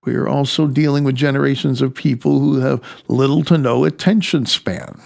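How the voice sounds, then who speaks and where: A man with a gravelly voice, narrating his own memoir as an audiobook, haltingly, sometimes stumbling over words. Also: mid-range voice, fairly even intonation, darkish tone. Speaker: author reading own audiobook.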